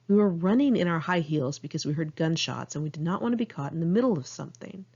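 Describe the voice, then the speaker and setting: A woman with an American accent narrates in a fairly low voice, on a slightly muffled, lo-fi recording. She reads personal journal entries like an audiobook, informal and candid. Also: expressive, fast, a little mellow, breathy edge. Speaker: author reading own memoir.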